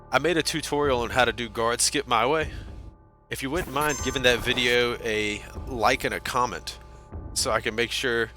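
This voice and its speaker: A man chatting casually with his live-stream chat while playing a game, talkative and self-deprecating. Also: mid-range voice. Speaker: speedrunner streamer.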